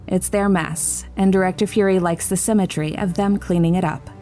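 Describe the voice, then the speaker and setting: Woman playing a stern, low-voiced military commander. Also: fairly even intonation, normal speed, mellow, soft background music, close to an everyday voice. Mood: businesslike. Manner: authoritative, clipped, no-nonsense. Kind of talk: audio drama acting.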